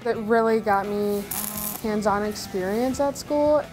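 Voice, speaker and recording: A woman's voice: medium pitch, measured pace, low-quality recording. A young adult woman, a recent welding graduate, speaking sincerely and enthusiastically about her job. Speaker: graduate, welder. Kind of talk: promotional interview. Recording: music bed underneath.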